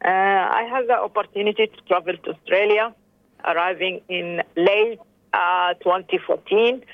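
A middle-aged woman talks as a guest over the phone, hesitant and full of fillers. Her voice is gravelly and sits at a middle pitch. The line is tinny and dry. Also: moderate pace, no echo. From Sudan. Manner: hesitant, many fillers and restarts. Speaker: interview guest. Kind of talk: phone interview.

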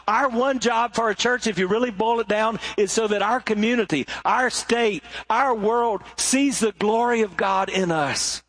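A middle-aged male pastor preaching a sermon, swinging between rising emphasis and hushed, low moments. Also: no echo.

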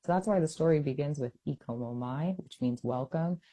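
A young adult woman from Hawaii, an author, chatting in an interview; she is animated, laughs often and uses lots of fillers. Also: low voice, a little fast, husky. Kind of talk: interview conversation.

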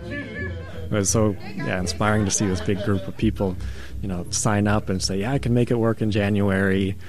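A man who coordinates a program speaks in an interview clip, halting and repeating words as he gathers his thoughts. His voice sits on the low side. Clear music plays behind him.

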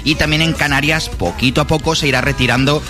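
A man's voice: a little high, fairly even intonation, quick pace. Chatty male radio weatherman over music. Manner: chatty, playful wording. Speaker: weather presenter. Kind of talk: casual radio weather report. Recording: radio broadcast with music behind.

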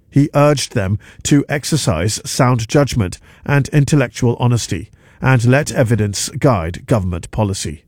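A man reading a radio news bulletin in a measured, formal way. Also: mid-range voice, a little mellow, no echo, clean studio sound. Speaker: newsreader. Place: radio studio.

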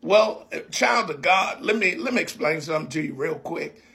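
Bright, high-voiced man preaching. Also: lively intonation, moderate pace, gravelly. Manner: emphatic, repetitive preacher cadence. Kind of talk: preaching in conversation.

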